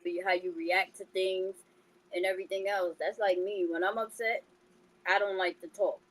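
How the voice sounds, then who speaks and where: A woman's voice: flat delivery, moderate pace, rough recording. Blunt woman with a baby voice. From New York. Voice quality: high, childlike voice. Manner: blunt, forceful way of talking. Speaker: podcast co-host. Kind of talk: casual chat.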